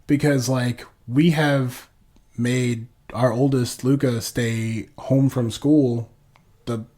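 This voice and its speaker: A man's voice: medium pitch, steady tone, slow pace, mellow. Congested, casual man in his thirties, podcast co-host, rambles and swears. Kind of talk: casual chat.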